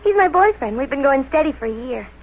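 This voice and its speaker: A cute American schoolgirl of seventeen speaks in a high, girlish voice. She is naive and gushing, in the manner of old radio drama. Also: animated, quick pace, low-quality recording. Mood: dreamy, gushing.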